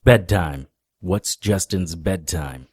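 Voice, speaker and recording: An actor playing a wry, world-weary male paranormal investigator, narrating a first-person noir audio drama in a deadpan manner. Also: deep voice, slow pace, husky, dry recording, studio quality, heavily acted. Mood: wry, matter-of-fact.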